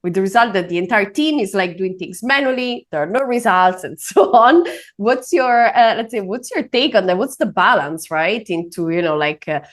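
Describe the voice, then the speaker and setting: A woman's voice: mid-range voice, lively intonation, moderate pace. Conversational woman host. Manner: conversational, with fillers and short backchannels. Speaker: podcast host and sales trainer. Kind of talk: casual interview talk.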